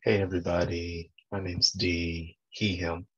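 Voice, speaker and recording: A man's voice: deep voice, little pitch movement, a little slow, husky, dry recording. A young man from Colorado giving a brief, laid-back introduction on a video call. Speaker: student introducing himself. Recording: dull lo-fi video call audio.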